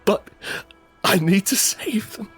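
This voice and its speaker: A man playing a frightened, desperate father in an apocalyptic audio drama, speaking with halting, intense urgency. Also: sits high, lively intonation, a bit bright, faint music behind, exaggerated voice. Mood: tense, fearful. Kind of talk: first-person scripted audio drama narration with acted dialogue.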